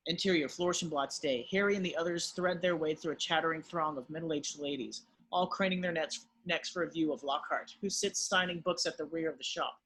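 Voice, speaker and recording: A man with a husky voice reading stage directions as the narrator of a script table read. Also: on the high side, a little fast, hardly any pauses, a bit bright, a little hiss or hum, rough recording.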